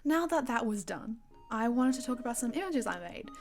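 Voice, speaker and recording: A woman's voice: on the high side, animated, brisk, gravelly, soft background music. A young woman narrating her own art project in a casual, self-deprecating voice-over, often playing up the drama. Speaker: animatic creator.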